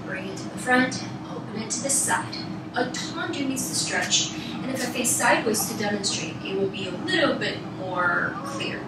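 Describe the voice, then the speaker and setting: A woman's voice: fairly high pitch, expressive, normal speed, heavy background noise. A woman teaching a ballet class, giving encouraging explanations and short rhythmic cues and counts. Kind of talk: instructional dance class, calling counts and cues. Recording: very echoey room, distant mic, loud music. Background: loud music.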